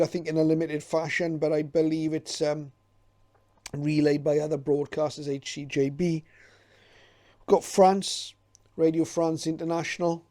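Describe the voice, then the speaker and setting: Male hobbyist presenter, flat intonation, slightly high pitch, hesitant and reflective casual commentary. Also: no room sound. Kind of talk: casual unscripted commentary while leafing through a guide.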